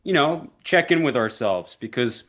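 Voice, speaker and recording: A man's voice: mid-range voice, animated, a bit bright. Earnest male interview host. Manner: earnest, measured, with fillers. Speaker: podcast host. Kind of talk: interview questions.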